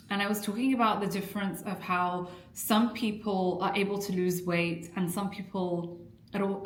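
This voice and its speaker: Earnest woman vlogging in an echoey room. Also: lowish voice. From Iraqi family. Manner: candid, earnest, confessional. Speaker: weight-loss coach. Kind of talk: personal vlog, talking to camera.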